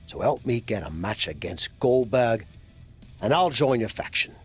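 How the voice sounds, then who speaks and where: A man's voice: medium pitch, big pitch swings, normal speed, breathy edge, faint music behind. A middle-aged man playing a proud, crafty, teasing veteran wrestler who coaches frankly in a video game cutscene. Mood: determined, persuading. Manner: frank, coaxing, wry.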